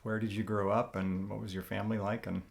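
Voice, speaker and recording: A man interviewing a guest, asking relaxed, slightly hesitant questions. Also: lowish voice, fast, creaky, a little hiss or hum.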